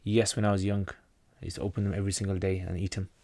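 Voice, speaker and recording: A man's voice: sits low, flat delivery, very fast, a little mellow, no room sound. A man from North Pakistan, a native Pashto speaker, hosting a casual livestream Q&A. He reads out viewer questions and answers them plainly, often restarting his sentences.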